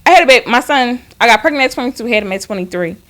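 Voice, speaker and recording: Woman abt 30, podcast chat, talks real fast, kinda high voice, blunt n sweary. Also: lively intonation, fairly bright tone. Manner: blunt, emphatic, profane. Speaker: podcast co-host. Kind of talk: casual chat.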